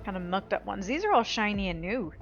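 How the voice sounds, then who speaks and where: A woman's voice: mid-range voice, sing-song, fairly quick, highs cut off. A woman giving casual video game commentary, with sarcastic asides. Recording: game music clearly behind the voice. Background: video game music.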